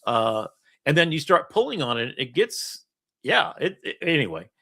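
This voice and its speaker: A middle-aged man telling long, rambling stories in an easy chat, laughing between lines. His pitch sits a touch high and swings widely as he talks. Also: normal speed, gravelly. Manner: storytelling, animated, laughs often. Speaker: podcast host.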